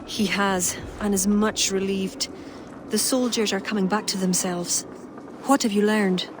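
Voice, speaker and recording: An actress playing a wise, composed female ally, calm and explanatory. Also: medium pitch, a little slow, natural voice. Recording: game audio with ambient noise.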